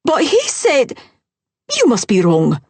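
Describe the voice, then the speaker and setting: A woman voicing a scowling, haughty stepmother who speaks in sharp, accusing tones. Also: mid-range voice, sing-song, unhurried, breathy edge, dry recording, voice pushed up. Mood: defensive.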